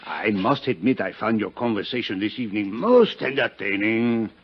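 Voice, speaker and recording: An actor playing an urbane, wry French police inspector with a husky voice and elaborate politeness, in a 1950s radio drama. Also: male voice, lowish voice, very animated, moderate pace. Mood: sly, courteous. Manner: suave, droll, elaborately polite. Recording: dull, lo-fi 1950s radio recording.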